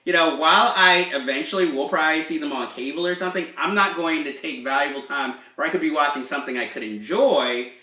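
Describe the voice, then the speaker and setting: Male host, rambling and self-deprecating, slightly higher voice, a bit far from the mic. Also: quick pace, few pauses, bright, forceful tone, reverberant. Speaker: host, film reviewer. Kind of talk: casual chat.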